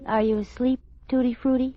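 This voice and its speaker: A woman plays an affectionate, slightly dizzy housewife in an old radio sitcom. Her voice is high, coy and teasing. The recording is dull and aged. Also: little pitch movement, a little fast, over loud music, natural voice. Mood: playful, wheedling. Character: Liz, playful, scatterbrained, affectionate housewife. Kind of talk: 1940s-50s radio comedy acting.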